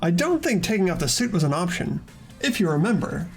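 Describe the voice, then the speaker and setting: A man voicing an earnest teenage boy detective who reasons deliberately through clues in a fan-dubbed game. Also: high-pitched, sing-song, faint music behind. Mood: pressing, insistent. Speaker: amateur voice actor in a group playthrough. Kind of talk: fan dub of a visual novel game.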